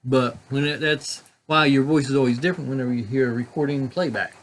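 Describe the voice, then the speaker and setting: A man with a slightly raspy voice with a country vibe, chatting casually and musing with many fillers while reviewing cigars. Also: medium pitch, fast. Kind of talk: casual chat.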